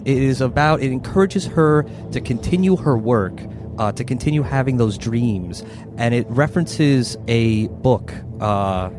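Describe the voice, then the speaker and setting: A man acting as game master, narrating a horror tabletop game and slipping into casual improvised asides. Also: medium pitch. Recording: music bed behind voice.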